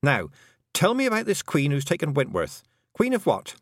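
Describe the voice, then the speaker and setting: A practical, sharp young girl, read by a man, brisk and direct with a lifted pitch. Lively in intonation, in a dry, close recording. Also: dry recording, exaggerated voice. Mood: firm.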